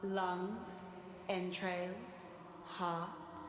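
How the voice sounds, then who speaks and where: A woman's voice: fairly low pitch, fairly even intonation, very slow. A woman playing an arrogant, vengeful fire goddess in a video game, speaking in a haughty, sardonic, theatrical way. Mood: dry, sardonic. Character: vengeful, arrogant fire goddess bound in a sword, mocking and bloodthirsty. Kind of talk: scripted video game character voice lines. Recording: roomy echo, clear background static noise.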